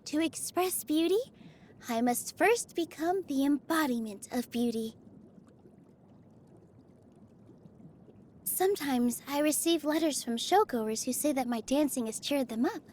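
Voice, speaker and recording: An actress voicing a gentle, cheerful young woman dancer in a video game, speaking in a sweet, earnest, easily flustered manner. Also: sits high, expressive, moderate pace, slight background noise. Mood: warm, earnest. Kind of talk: video game character voice lines.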